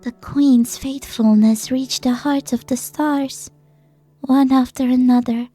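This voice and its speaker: A woman voicing a gentle young girl who solemnly retells a fairy tale in an amateur game fan dub, hushed and measured. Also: a little high.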